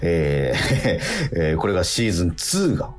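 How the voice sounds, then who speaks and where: Deep, husky-voiced man hosting casual radio chat. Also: wildly swooping pitch, faint music behind. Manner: halting, self-correcting, trailing repetitions. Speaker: radio show host and actor. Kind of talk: casual radio-podcast chat introducing a show.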